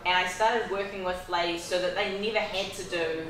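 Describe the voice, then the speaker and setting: A woman's voice: on the low side, fairly even intonation, normal speed, a crowd in the background. A woman with a New Zealand accent, a fitness coach introducing herself candidly at a seminar. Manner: candid, self-deprecating. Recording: very echoey room, mic far off, background noise.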